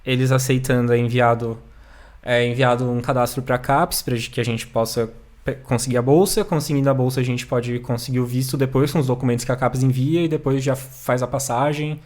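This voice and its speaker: A man's voice: medium pitch, fairly even intonation, fairly quick, a little mellow. Young Southern Brazilian man, matter-of-fact. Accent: Southern Brazilian Portuguese. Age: young adult. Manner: brief agreeing replies and matter-of-fact explanations. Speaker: university student guest. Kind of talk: conversational interview.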